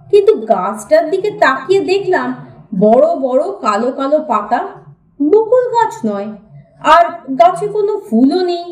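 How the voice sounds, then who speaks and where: A woman reading a humorous story aloud as an expressive audiobook narrator. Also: high voice. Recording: roomy echo, distant from mic.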